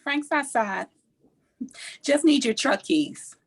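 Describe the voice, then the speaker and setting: An actress at a table read playing a brash, sweet-talking punk rock woman, breezy and teasing in a husky voice. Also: fairly high pitch, expressive, slow pace, heavily acted.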